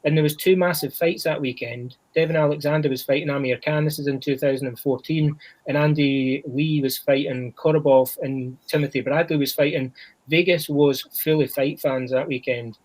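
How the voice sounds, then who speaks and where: A man's voice: a little high, fairly even intonation, normal speed, darkish tone, rough recording. A Scottish man on a boxing podcast, chatting casually with a lot of restarts and "you know"s. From Scotland. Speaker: boxing podcast panelist named Chris. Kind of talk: casual chat.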